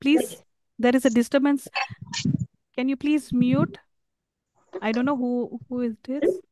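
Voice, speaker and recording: A woman with an Indian English accent teaching an online class, halting with many fillers and asking questions. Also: high voice, fairly even intonation, warm tone, dry recording, rough recording.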